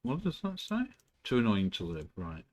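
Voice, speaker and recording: A man with a slightly low voice chats on a live stream from home. He rambles loosely between thoughts, clears his throat often, and swears casually. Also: wildly swooping pitch, moderate pace. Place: indoors at home.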